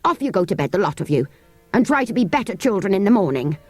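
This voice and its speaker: A fierce housemaid acted out by a woman narrator scolds in a sharp, indignant way. Her pitch swings widely, in the manner of a storybook servant who has had enough. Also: sing-song, fast talker, faint music behind. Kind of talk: children's storybook character reading.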